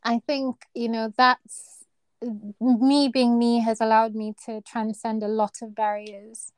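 Kenyan woman in her 30s, soft voice, chatty and laughy, dry close mic. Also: a little high, little pitch movement, slow, smooth, no echo. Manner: chatty, laughs often, searches for words. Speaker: guest, neurosurgery registrar. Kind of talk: casual interview chat.

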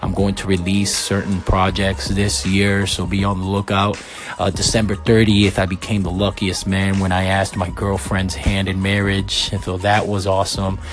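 A man in his thirties hosts a solo podcast in a low, steady voice that stays mostly flat. He speaks earnestly and at a measured pace, often pausing on "uh" and "um". Faint music plays underneath. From Puerto Rico. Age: 30s. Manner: earnest, measured life-story telling with frequent fillers. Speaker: podcast host.

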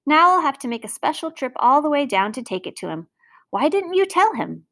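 A woman's voice: high voice, big pitch swings, takes it slowly, fairly bright tone, pitched above the performer's own voice, full character voice. A woman voicing a harried, exasperated storybook mother who pleads with and scolds her children.

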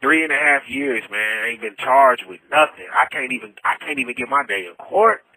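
A man's voice: medium pitch, animated, brisk, sharp tone. An American man making an emphatic, repetitive, impassioned plea over a prison phone line. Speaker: prisoner telling his story. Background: music.

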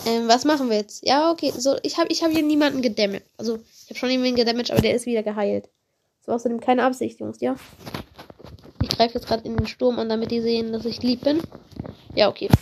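A woman with a slight cold, giving excitable, chatty commentary on her own gameplay, swearing and apologizing as she plays. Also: high-pitched, a little hiss or hum. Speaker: gaming video creator.

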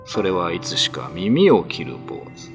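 A man's voice: low-pitched, sing-song, unhurried. A man narrating a classical ghost story for radio drama in a theatrical, ominous, scripted style. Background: music.

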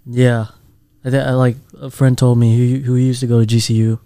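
Deep-voiced laid-back young man. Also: little pitch movement, warm tone, clean tone, dry recording. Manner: laid-back, easygoing, says bro a lot, quick to laugh. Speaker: podcast co-host. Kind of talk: casual chat.

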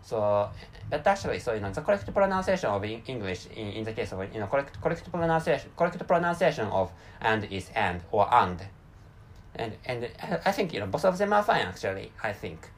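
Halting man with a Japanese accent. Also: lowish voice, very animated, slight background noise. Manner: halting, many restarts and repeated words. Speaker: podcast host. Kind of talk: unscripted solo talk.

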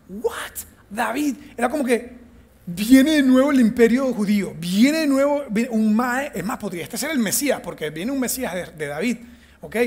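A man with a Venezuelan accent teaches a Bible class in a playful, animated way. His voice sits fairly high, and he slips into acted-out lines for the figures in his story. He jokes with his listeners as he goes. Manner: joking, animated storytelling, acting out characters. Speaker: church teacher. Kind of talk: lively Bible class talk.

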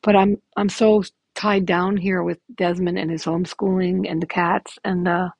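Raspy, gravelly-voiced woman in her fifties. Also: on the low side, little pitch movement, moderate pace, a little mellow, no echo. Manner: rambling, candid, frequent ums. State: hoarse from illness. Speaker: podcast host. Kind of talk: casual personal update.

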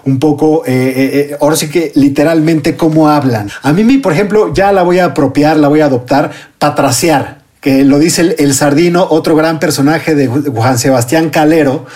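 Slightly high-voiced male host. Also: moderate pace, darkish tone. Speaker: interview host. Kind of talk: conversational interviewing.

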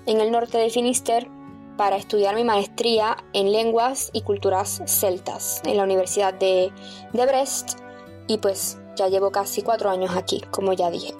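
A woman's voice: mid-range voice, steady tone, normal speed, a little mellow, soft background music. A woman in her twenties from Puerto Rico with a Puerto Rican accent, chattily telling her own story with small restarts. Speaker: guest sharing her experience. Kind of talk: casual personal storytelling.

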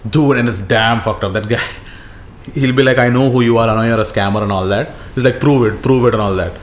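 A man's voice: medium pitch, brisk. An Indian-accented man chatting casually as a podcast co-host, telling stories in slangy, profane language and repeating himself for emphasis. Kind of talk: casual podcast chat, animated retelling. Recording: roomy echo, faint background noise.

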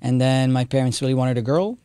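A man's voice: medium pitch, a little fast, dark tone, clear, resonant tone, dry recording. A man, telling his story as a guest with many fillers and restarts. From Israel. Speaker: guest, company co-founder. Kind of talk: casual interview talk.

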